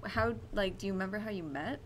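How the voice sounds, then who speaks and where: A woman in her twenties co-hosts a casual podcast. Her voice sits at an average pitch for a woman. She talks conversationally and puts questions to the others. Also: fast. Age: 20s. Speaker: podcast co-host. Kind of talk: casual chat, asking questions.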